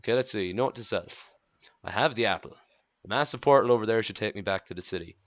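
A man's voice: on the low side, fast talker, dull audio. A young man casually chatting and joking while playing a game with a friend, with playful asides. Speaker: gamer co-host. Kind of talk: casual let's-play chat.

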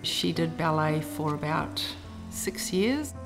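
A woman's voice: deep voice, animated, slow, creaky. A middle-aged woman telling stories about her daughter in a documentary interview. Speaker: athlete's mother. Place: room lined with framed photos. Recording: music underneath.

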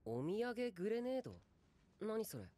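Easygoing anime gamer boy, mellow tone, swoopy pitch, casual. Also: big pitch swings, normal speed, slight background noise. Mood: puzzled. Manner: casual, questioning. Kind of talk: anime dialogue.

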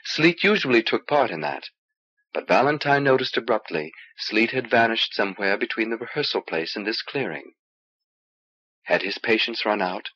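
A man with a husky voice narrating a fantasy novel in an expressive, measured storytelling delivery, on an old cassette transfer. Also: fairly low pitch, dull audio. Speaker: audiobook reader.

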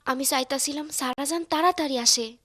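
A woman voicing a kind, eager young village girl in a cartoon, earnest and expressive, with a rural Bangladeshi accent. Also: high voice, normal speed, very noisy, lightly acted. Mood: earnest, worried. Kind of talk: cartoon voice acting.

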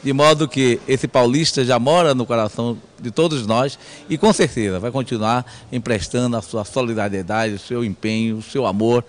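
A middle-aged man speaks as an official in a TV interview. His pitch is average. He pays formal tribute into a handheld microphone indoors. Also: normal speed. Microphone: handheld reporter microphone.

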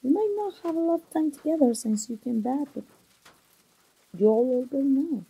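A young woman voicing an engaged woman confiding in an old friend, in a soft, breathy, close ASMR roleplay style. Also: high-pitched, animated, moderate pace, low-quality recording. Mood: defensive, coaxing. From Mexico.

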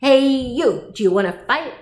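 A woman voicing a grouchy, greedy female ladybug from a storybook, bossy, shouting and itching for a fight. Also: medium pitch, animated, normal speed, echoey room, lightly acted. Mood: belligerent, boastful. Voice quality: loud, shouting. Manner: pugnacious, blustering.

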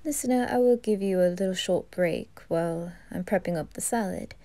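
Woman voicing a weary, proper male butler, low-key and hushed, mellow tone. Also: moderate pace, close to an everyday voice. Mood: composed. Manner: calm, hushed, dry. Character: composed, exasperated male butler. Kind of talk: ASMR roleplay.

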